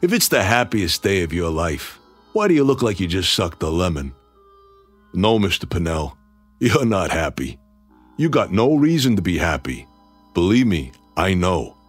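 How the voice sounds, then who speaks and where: Low-voiced, menacing hard-boiled tough guy. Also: sing-song, moderate pace, slightly rough, faint music behind, heavily acted. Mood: bitter taunting. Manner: terse, mocking, hard-boiled. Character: menacing, sardonic male tough guy out for revenge. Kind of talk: radio drama acting.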